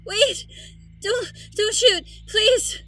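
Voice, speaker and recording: A woman playing a grieving, newly turned vampire mother in an audio drama, pleading in a hesitant, halting way. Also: extremely high pitch, pushed and bright, a little hiss or hum, full character voice. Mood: frightened pleading. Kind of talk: cinematic audio roleplay acting.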